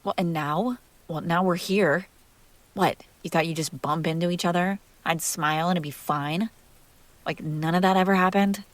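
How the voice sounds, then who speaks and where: A woman playing a jealous, hurt young woman confronting her crush in an intimate audio roleplay, halting, sarcastic and emotionally raw. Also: on the low side, fairly even intonation, no echo, lightly acted. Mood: bitter, accusing.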